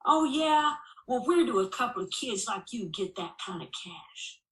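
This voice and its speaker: A man's voice: very high-pitched, very animated, a little slow, a bit bright, pitched above the performer's own voice, exaggerated voice. A woman voicing a stern, folksy small-town sheriff in an audiobook, sarcastic and condescending as he questions someone. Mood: probing, sarcastic. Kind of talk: audiobook character dialogue. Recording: echoey room.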